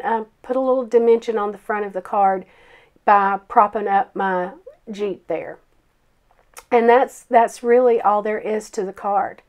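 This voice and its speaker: A woman's voice: a little high, a little mellow. Froggy-voiced grandmother crafter, chatty. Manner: chatty, self-deprecating, many ums. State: hoarse from a summer cold. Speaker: card-making crafter. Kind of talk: casual craft tutorial voice-over.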